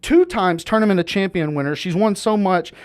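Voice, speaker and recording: A man's voice: sits high, brisk, clean tone. A man hosting a podcast, talking in a rambling, casual way with lots of fillers. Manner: rambling, many fillers and restarts. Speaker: podcast host. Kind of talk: casual chat.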